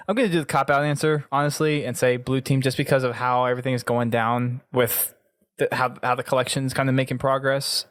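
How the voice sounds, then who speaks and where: A man's voice: medium pitch, dry recording, clean studio sound. A man hosting a gaming podcast in a casual, conversational way. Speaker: podcast host.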